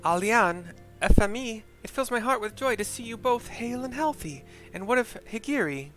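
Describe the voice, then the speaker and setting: A man voicing an earnest, warm-hearted young woman from a fantasy game, with a heartfelt lilt. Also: sits high, expressive, normal speed, pitched above the performer's own voice. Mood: joyful welcome. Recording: music behind the voice.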